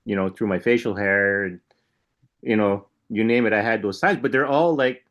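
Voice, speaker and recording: A man's voice: fairly low pitch, moderate pace, fairly bright tone. A man co-hosting a podcast in casual chat, skeptical and loose, chuckling as he rambles. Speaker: podcast co-host.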